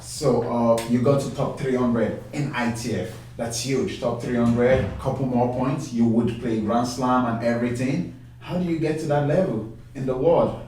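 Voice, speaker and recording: Male talk show host, mid-pitched and effusive, heavy room echo, distant mic. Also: fairly even intonation, moderate pace, a little mellow, a little hiss or hum. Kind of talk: interview.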